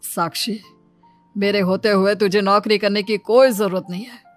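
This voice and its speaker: A woman's voice: mid-range voice, steady tone, normal speed, close to an everyday voice. A woman voicing an ageing widowed father, loving and worried for his daughter, in a moral story. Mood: tender, resigned. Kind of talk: moral story character dialogue. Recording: music behind the voice.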